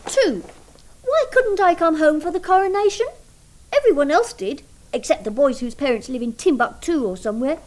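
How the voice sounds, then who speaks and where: A precocious English boy in a radio drama. His voice is high and swoops up and down as he pronounces with pompous, defiant earnestness. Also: lively intonation, moderate pace, low-quality recording. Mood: insistent, reproachful. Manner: declaiming, mock-formal, insistent. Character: precocious, theatrical young schoolboy son, earnest and defiant. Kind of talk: radio play acting.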